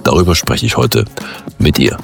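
A man hosting a radio magazine show, presenting in a slow, playful way and asking questions. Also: very deep voice, lively intonation, gravelly, soft background music.

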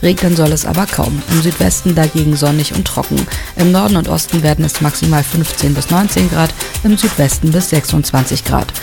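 A man reading a radio news bulletin and the weather in a steady, even delivery. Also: a little high, fairly quick, gravelly, hardly any pauses, over music.

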